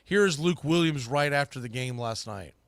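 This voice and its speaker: A man's voice: mid-range voice, expressive, moderate pace, gravelly, noisy background. A man hosting a sports podcast, giving emphatic, opinionated analysis and repeating phrases for stress. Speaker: sports podcast host, play-by-play broadcaster.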